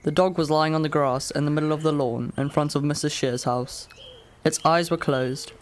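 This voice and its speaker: A man's voice: fairly high pitch, fairly even intonation, moderate pace, slight background noise, natural voice. A man playing a precise, literal-minded fifteen-year-old boy in a radio drama. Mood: matter-of-fact. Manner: matter-of-fact, precise, literal. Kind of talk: radio drama narration and dialogue.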